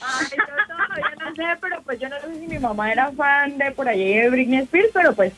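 A woman's voice: high voice, animated, fairly quick, a bit bright, faint music behind. A woman joining a radio show as a colleague from another city, shy and giggly. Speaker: radio host from another station.

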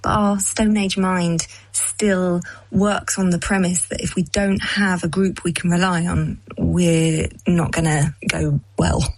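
A woman's voice: fairly low pitch, flat delivery, slow pace, hardly any pauses, dry recording, rough recording. A woman speaking as an expert guest, explaining things conversationally in an interview.

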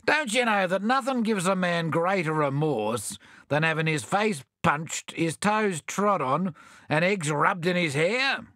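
A man's voice: high voice, lively intonation, measured pace, pushed and bright, no echo, studio quality, voice pushed up, heavily acted. A man voicing a grumbling old male koala sailor in a storybook. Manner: grumbling, blustering.

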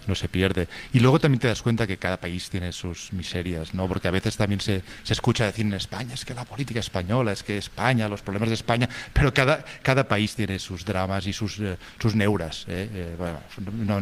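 Low-voiced man speaking haltingly. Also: a little hiss or hum. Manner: halting, emphatic. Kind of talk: casual conversation.

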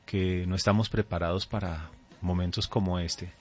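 Low male voice, Colombian accent, earnest pastor, conversational, dull lo-fi radio sound. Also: steady tone, moderate pace. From Colombia, raised there from age two. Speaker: pastor, interview guest. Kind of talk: radio interview, conversational testimony. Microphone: studio microphone. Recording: dull, band-limited radio audio.

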